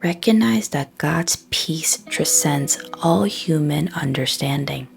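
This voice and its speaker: Female meditation and prayer guide, soft and breathy with a creaky edge, very unhurried scripted read with frequent pauses. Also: sits low, lively intonation, faint music behind.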